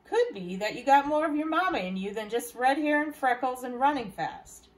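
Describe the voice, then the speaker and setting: A woman reading a storybook part of a wise, gentle older woman gardener who talks in folksy, reassuring phrases. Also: high-pitched, lively intonation, moderate pace. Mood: encouraging.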